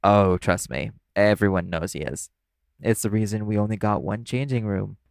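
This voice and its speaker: An easygoing club performer played by a man talks in a low voice with plenty of gravelly fry, recorded close and dry. He is playful and teasing, speaking casually as if to a coworker in an intimate audio roleplay. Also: normal speed, dry recording. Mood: friendly, reassuring.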